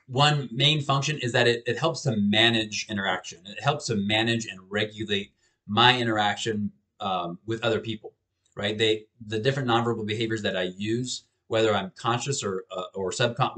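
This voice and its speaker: A man's voice: on the low side, fairly bright tone. A man in his thirties giving a recorded lecture from a small home office on a webcam, talking casually with frequent restarts. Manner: casual and conversational, with frequent restarts and repeated words. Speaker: professor.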